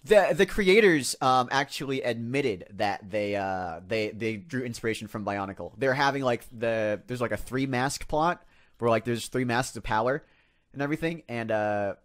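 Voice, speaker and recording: A man co-hosting a casual podcast in a halting way, often repeating words as he gets his thought out. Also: mid-range voice, lively intonation. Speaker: podcast co-host. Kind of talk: casual group podcast banter.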